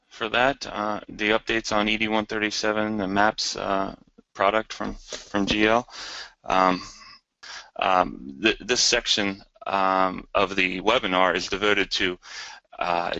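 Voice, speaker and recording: A man's voice: low-pitched, pushed and bright, no echo. A man presenting products in a webinar, speaking hesitantly and repeating words. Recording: dry but dull lo-fi webinar audio.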